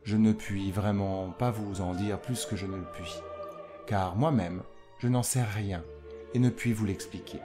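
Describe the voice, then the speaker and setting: A man voicing a famous composer describing his musical inspiration in a formal, measured, literary way. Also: on the low side, steady tone, music in the background, lightly acted. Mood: reflective. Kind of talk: dramatised reading of a quotation.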